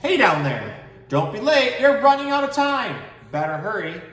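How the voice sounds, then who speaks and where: Man as hurrying cartoonish storybook animal, high, urging, exclamatory, brisk, roomy sound. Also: sing-song, a bit bright. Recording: roomy, distant mic.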